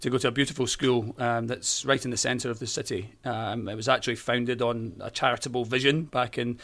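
A man's voice: medium pitch, fairly even intonation, fairly quick, a bit bright. Talkative Scottish man, friendly. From Scotland. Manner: friendly, talkative, frequent fillers and restarts. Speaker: primary school teacher. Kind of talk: conversational interview.

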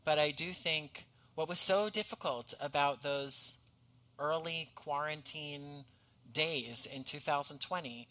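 A man speaking as a podcast guest in a thoughtful conversational interview, articulate and earnest, with measured phrasing. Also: fairly high pitch, bright, forceful tone, low-quality recording. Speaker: podcast guest, audio drama writer.

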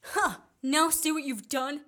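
A woman acting in a radio play as a quick-witted, sardonic man on the run, with clipped banter. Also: sits high, fairly bright tone. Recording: noisy student radio play recording.

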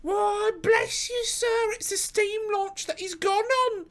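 A storybook working-class housewife, pitched extremely high. She is stout, fretful and talkative, respectful to her betters but full of homely grumbling. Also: steady tone, slow, fairly bright tone, exaggerated voice. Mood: worried, deferential. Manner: deferential, garrulous, colloquial working-class speech. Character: stoutish red-faced working-class London wife, fretful and chatty.

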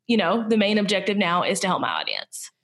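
A woman's voice: mid-range voice, wildly swooping pitch, a little fast, fairly bright tone. Southern US woman in her thirties, mid-range voice, chatty interview guest who rambles and fills with "like" and "you know". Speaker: podcast guest, business owner. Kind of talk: casual conversational interview.

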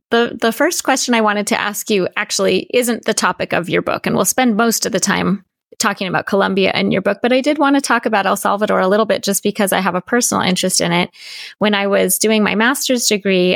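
A woman hosting an interview podcast, speaking in an enthusiastic, friendly way. Her voice has a noticeable gravelly fry, and the recording sounds dry and close. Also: medium pitch, dry recording. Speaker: podcast host.

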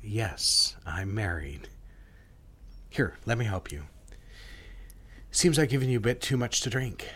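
Man acting low-voiced, controlling seductive boss. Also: very animated, a little slow, pauses often, slightly rough, exaggerated voice. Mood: teasing, courteous. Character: controlling, seductive married boss wooing his secretary. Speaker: actor. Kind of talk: romantic audio drama acting. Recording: loud music over the voice.

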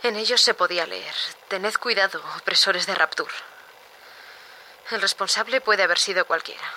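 Noisy recording, female voice, actress as composed worker, measured incident report, audio drama diary. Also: medium pitch, bright, forceful tone. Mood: grave, matter-of-fact. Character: composed maintenance worker recounting a sabotage incident.

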